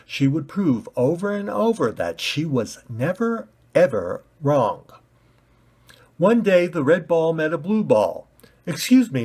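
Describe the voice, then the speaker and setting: A man reading his own children's-style story in an expressive storytelling manner, as an amateur author. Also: mid-range voice, slightly rough.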